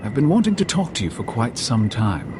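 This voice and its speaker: An actor playing a treacherous officer in a dramatic video game, courteous with veiled menace. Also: male voice, mid-range voice, very animated, fairly quick, background noise, lightly acted. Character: smooth-talking, treacherous male officer turned assassin.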